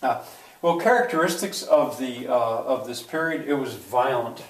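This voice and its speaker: An older man giving a history lecture to a class, halting and full of fillers and restarts. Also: medium pitch. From United States. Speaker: teacher. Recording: echoey room, voice from far off.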